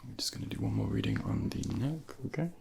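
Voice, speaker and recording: A man softly whispering a medical ASMR role play as a junior doctor, gently reassuring and instructing, close to a binaural microphone. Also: lowish voice, huge pitch swings, fast. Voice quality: breathy whispering, gravelly.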